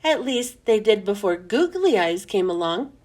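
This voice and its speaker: A woman reading a children's book aloud with expressive, emphatic storytelling that stretches out key words. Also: mid-range voice, moderate pace. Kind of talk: expressive scripted storybook narration.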